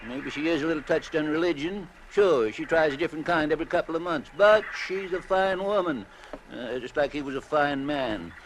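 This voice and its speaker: Folksy small-town American dockman played by a male actor, higher-pitched, emphatic and protective, on a slightly noisy old radio drama recording. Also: fairly quick, close to an everyday voice. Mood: earnest, defensive. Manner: rustic, ungrammatical, emphatic talk. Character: folksy, kindly small-town boat dock owner, chatty and protective. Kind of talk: 1950s radio drama acting.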